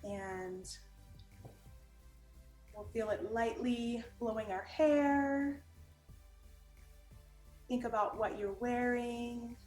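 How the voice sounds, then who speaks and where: A woman leading a guided meditation in a calm, gentle manner, giving unhurried instructions with long pauses. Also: on the high side. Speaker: meditation guide and art teacher. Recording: roomy echo with music underneath.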